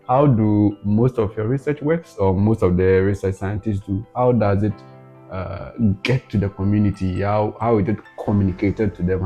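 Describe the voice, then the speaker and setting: A man's voice: fairly low pitch, dark tone. A man interviewing a professor, asking long, rephrased questions and giving frequent encouraging responses.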